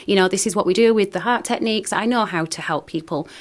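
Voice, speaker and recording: A woman's voice: medium pitch, quick pace. A woman talks about herself in a radio studio. She is earnest and personal, often restarting her sentences as she goes. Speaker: guest, founder of a wellbeing company. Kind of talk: radio interview.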